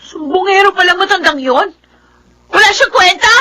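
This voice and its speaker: Sharp, haughty young Filipina daughter. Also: female voice, very high voice, lively intonation, normal speed, a little hiss or hum, full character voice. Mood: angry, tearful. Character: haughty, defiant grown daughter, hurt and scornful. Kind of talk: Filipino radio drama acting.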